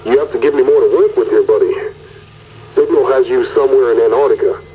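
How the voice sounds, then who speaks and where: An actor playing a weary, candid corporate mission controller, husky, in a radio message that mixes official briefing with wry confiding, in a sci-fi audio drama. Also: male voice, medium pitch, fast, mellow, rough recording. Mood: confiding, exasperated.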